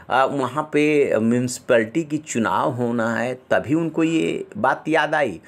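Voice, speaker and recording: A man presenting news as an anchor, explaining points in an emphatic way. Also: medium pitch.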